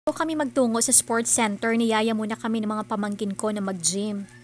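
A woman's voice: on the high side, steady tone, fairly quick. Chatty woman narrating a vlog. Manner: chatty, earnest and encouraging. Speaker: vlogger. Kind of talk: voice-over narration.

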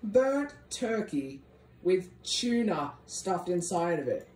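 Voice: big pitch swings, slow pace, a little mellow. A person with an Australian accent filming and teasing a sibling in casual, bossy banter. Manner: mocking, bossy, jumps between high and low. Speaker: vlogger filming a family skit. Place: small bedroom with blinds and a gaming desk. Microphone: handheld phone. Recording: echoey bedroom on a phone.

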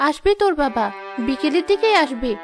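Woman as a high-voiced, fretful village mother. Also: expressive, fairly quick, over music. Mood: coaxing. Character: poor village mother, anxious, tender, mildly scolding. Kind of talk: Bengali moral-story cartoon dubbing.

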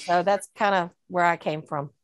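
A woman's voice: lowish voice, fairly even intonation, normal speed. A woman on a video call panel, talking casually and thinking aloud with rhetorical questions about dog breeding.

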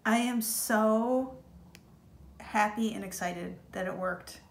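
A woman's voice: mid-range voice, slow pace, roomy sound, slight background noise. A woman hosting a home baking video, chatting to viewers as she shows each step in her kitchen. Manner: chatty and encouraging, talks to viewers as "you guys". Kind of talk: casual step-by-step baking demo.

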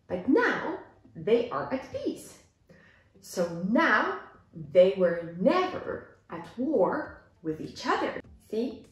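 Echoey, animated young woman teaching English. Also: a little high. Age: young adult. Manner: deliberate, clearly explanatory, gesturing as she teaches. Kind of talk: reading a simplified story aloud and explaining words to learners. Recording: echoey room, music underneath. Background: background music.

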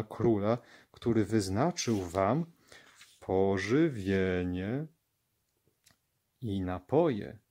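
A man narrates scripture for children in a fairly low voice. He reads at a very unhurried pace, enunciating each phrase deliberately. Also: a little mellow. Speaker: Bible story reader.

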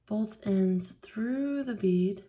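A woman leading a hands-on beading tutorial at a craft table, explaining each step slowly and patiently. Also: medium pitch, dark tone. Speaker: craft instructor.

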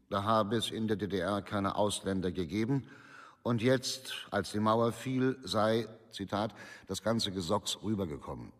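An actor playing a formal official who reads out a record in a detached, deliberate way in a radio play. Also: male voice, lowish voice. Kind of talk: scripted reading of a record. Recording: long hall reverb.

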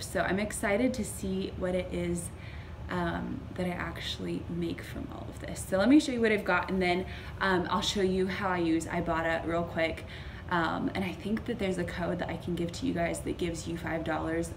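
A woman's voice: mid-range voice, a little hiss or hum. A young woman in her twenties chatting casually about her grocery haul, upbeat and full of little fillers, recorded at home on a close camera. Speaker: vlogger. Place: small indoor room at home.

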